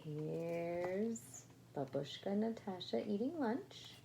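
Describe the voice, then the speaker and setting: Female storybook reader for children, lively intonation, creaky voice, explanatory, faint noise behind. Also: mid-range voice, slow pace. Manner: expressive, explanatory. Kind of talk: read-aloud for children with casual asides.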